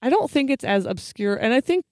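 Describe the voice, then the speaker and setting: American woman, thirties, crisp voice, dry close sound, casual podcast banter, wry interjections. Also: sits high, big pitch swings, brisk, a little mellow, dry recording. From West Coast of the United States. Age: 30s. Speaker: podcast co-host. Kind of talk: casual chat.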